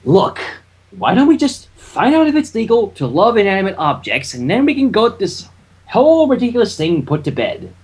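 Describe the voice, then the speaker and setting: A reader puts on a young male dragon sidekick from a cartoon. The voice is rough and animated, with an exasperated, hesitant manner, always on the defensive. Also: medium pitch, normal speed, pitched above the performer's own voice.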